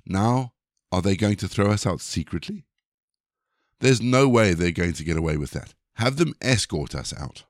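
A man's voice: lowish voice, animated, normal speed, no echo, studio quality, lightly acted. A man voicing a bold, commanding apostle in a Bible story, with an emphatic, declaiming manner. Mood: indignant.

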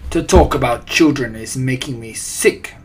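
A man's voice: medium pitch, unhurried, a little hiss or hum, close to an everyday voice. Man voicing a tyrannical, thundering witch leader. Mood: disgusted. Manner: imperious, thundering, broken English. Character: tyrannical, ranting female head witch with foreign grammar. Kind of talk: audiobook character voice.

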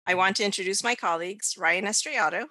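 A woman's voice: mid-range voice, fast talker, sharp tone, no room sound. A woman from the United States hosting a podcast, giving prepared introductions. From United States, child of Mexican immigrants. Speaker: podcast host.

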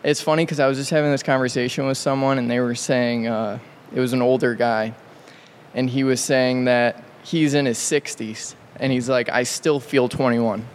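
Podcast guest, man, late 20s, easygoing drawl, mid pitch, light background noise. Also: steady tone. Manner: laid-back, drawn-out speech with many likes. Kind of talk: casual chat. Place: large studio.

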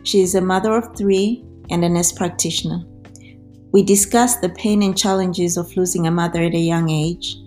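A woman's voice: fairly low pitch, darkish tone. A woman hosting a podcast, introducing the show and chatting with a guest in an interview style. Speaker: podcast host.

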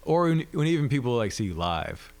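A man with a low-register voice, a musician guest chatting easily and thoughtfully in an interview. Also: a little fast, vocal fry, no room sound. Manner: relaxed, thoughtful, frequent "like" fillers. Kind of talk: casual interview chat.

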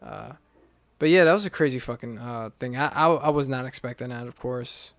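A man co-hosting a casual podcast chat about fights, swearing freely and rambling with quick restarts. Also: mid-range voice, no echo. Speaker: podcast co-host.

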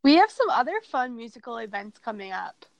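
A woman's voice: a little high, big pitch swings, fairly quick, gravelly, dry recording. A woman with a Minnesotan accent co-hosting a podcast, chatting in an upbeat, jokey way. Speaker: podcast co-host. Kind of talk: casual chat.

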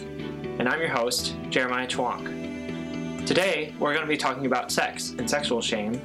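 A man hosting a podcast interview, introducing and putting questions to a guest. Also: medium pitch. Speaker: podcast host.

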